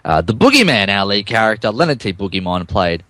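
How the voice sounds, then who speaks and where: Male podcast narrator, lively swings in pitch, mock-dramatic, stumbling comic read, dry close sound. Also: fairly quick, no echo. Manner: mock-dramatic, often stumbles over words. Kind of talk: comic dramatic reading and casual banter.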